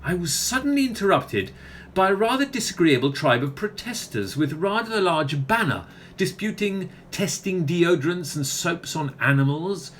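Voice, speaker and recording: A man's voice: sits high, big pitch swings, normal speed, a bit bright, a little hiss or hum. A man playing a pompous, self-important author with a wordy, theatrical manner in a comic radio play. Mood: smug, anecdotal.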